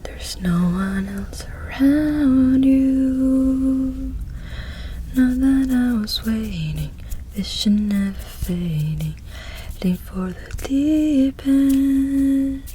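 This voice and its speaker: A woman performs songs as ASMR in a breathy whisper that slips into soft singing. Her tone is mellow and fairly high, and she takes the words very slowly. The delivery is hushed and intimate. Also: animated, slight background noise.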